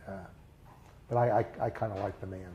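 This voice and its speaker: An older man reminiscing in a casual interview, speaking haltingly with many fillers and restarts. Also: on the low side, steady tone, lo-fi sound, background noise. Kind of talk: recalled personal memories in a casual interview.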